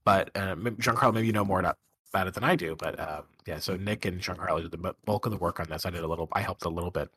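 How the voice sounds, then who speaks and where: A man on an online research panel, talking fast and loosely with many fillers. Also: low voice, pushed and bright, husky, dry recording. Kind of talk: casual online talk.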